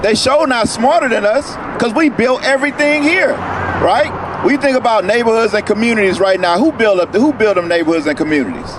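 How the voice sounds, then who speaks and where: High-voiced man teaching on the street. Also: animated, fairly quick. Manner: questioning, tags lines with "right?". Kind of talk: outdoor street preaching. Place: outdoors on a city street. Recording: noisy street with traffic.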